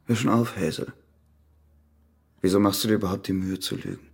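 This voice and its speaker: An actor playing a bitter, jealous ex-convict husband with a terse, sardonic way of talking in a radio crime drama. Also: male voice, low voice, steady tone, fairly quick. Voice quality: gravelly, husky.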